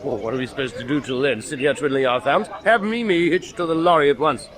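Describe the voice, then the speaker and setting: An actor playing an imperious, impatient naval commander in a period radio drama, brusque and given to clipped orders. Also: male voice, mid-range voice, quick pace, a crowd in the background, close to an everyday voice. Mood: brisk, impatient.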